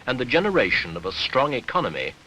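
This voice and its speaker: A man narrating a 1970s documentary newsreel in a formal, measured, authoritative way, on an archival soundtrack. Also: mid-range voice, very animated, sharp tone, a little hiss or hum, rough recording.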